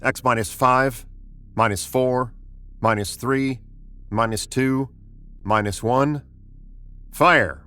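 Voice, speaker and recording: An American actor playing a brusque, impatient middle-aged spaceship commander in a 1950s radio drama, commanding and sardonic. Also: male voice, mid-range voice, slow, long pauses, bright, forceful tone. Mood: brisk, impatient.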